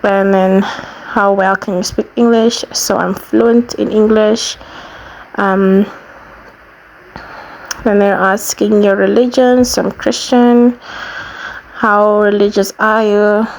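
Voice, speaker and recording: Namibian woman with a creaky voice. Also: medium pitch, steady tone, slow pace, long pauses, a little mellow, slight background noise, poor audio. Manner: plain-spoken, explanatory, step by step. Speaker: YouTuber giving a how-to tutorial. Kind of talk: informal screen walkthrough, casual instruction.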